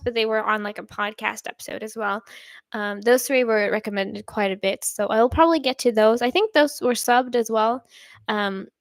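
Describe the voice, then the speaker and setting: A woman's voice: no room sound, clean studio sound. Chatty young woman on a live stream. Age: young adult. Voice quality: somewhat deep voice. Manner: chatty, rambling, frequent fillers and soft laughs. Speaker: reaction channel host. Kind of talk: live stream chat reading comments.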